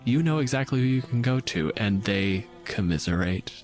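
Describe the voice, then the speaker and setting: Male game master, low-pitched, very animated casual storytelling, clear music underneath. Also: normal speed. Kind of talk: narrating a tabletop game.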